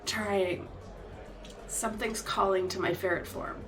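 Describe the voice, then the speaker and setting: A woman's voice: medium pitch, fairly even intonation, far from the mic, a crowd in the background, lightly acted. A woman voicing a jittery young ferret shifter who stammers when nervous. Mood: pained. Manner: nervous, stammering, quick to threaten.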